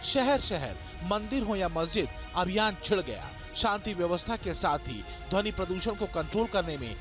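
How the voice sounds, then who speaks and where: A man delivering dramatic scripted television news narration in an emphatic, rhetorical manner. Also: sits high. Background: background music.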